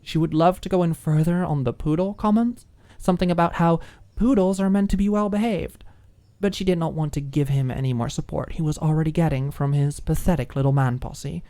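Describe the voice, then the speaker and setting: A woman's voice: low-pitched, brisk, dark tone. A woman reading scripted story narration as an audiobook narrator.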